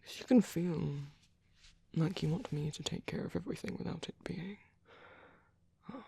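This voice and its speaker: A man playing a tender, caring vampire priest in an ASMR roleplay, speaking softly and hesitantly in a soothing, coaxing way. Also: on the high side, animated, normal speed, dry recording, exaggerated voice. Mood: soft reassurance. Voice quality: soft, breathy, hushed. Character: caring, tender vampire priest comforting his sleepy partner.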